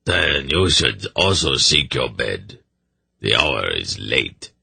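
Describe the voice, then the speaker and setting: A man voicing a grim, formal old seneschal with a rasping voice and stern, terse speech in a fantasy audiobook. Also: very deep voice, very animated, slow pace, pushed and bright, no echo, pitched below the performer's own voice, exaggerated voice. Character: grim, bleak old military seneschal, heavy-shouldered man.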